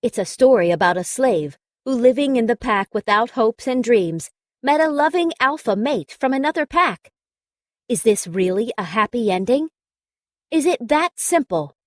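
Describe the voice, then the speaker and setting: A woman's voice: mid-range voice, dry recording, clean studio sound. A woman reading a scripted audiobook as narrator, telling a story in the first person.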